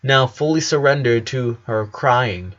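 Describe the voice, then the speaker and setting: A man's voice: medium pitch, unhurried, a bit bright, highs cut off. A man narrating a story in an earnest, dramatic audiobook reading. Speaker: narrator of a fan fiction story.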